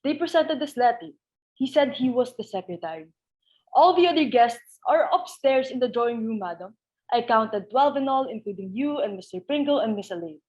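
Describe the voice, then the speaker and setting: A woman's voice: a little high, animated, normal speed, a little mellow, dull audio, lightly acted. A woman playing a deferential household servant in a stage comedy reading, formal and polite as she reports to her mistress.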